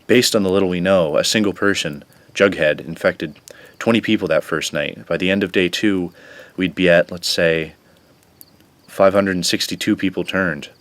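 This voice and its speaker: A man's voice: deep voice, a bit bright, no echo. A man playing a nerdy, anxious teenage science whiz in an audio drama, explaining things precisely and a little hesitantly. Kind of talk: radio drama acting.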